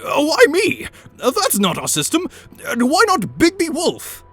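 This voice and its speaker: A fussy, high-voiced older official. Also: male voice, wildly swooping pitch, unhurried, pushed and bright, faint music behind, full character voice. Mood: flustered. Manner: hesitant, formal, flustered.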